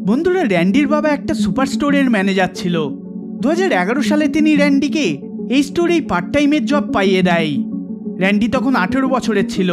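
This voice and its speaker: High-pitched man narrating over loud music. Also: animated, quick pace. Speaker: documentary narrator. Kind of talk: scripted narration. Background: loud background music.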